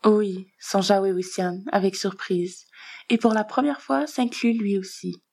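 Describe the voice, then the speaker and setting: A woman's voice: medium pitch, steady tone, unhurried, creaky, a little mellow, no echo. A woman narrating a scripted story as a reader.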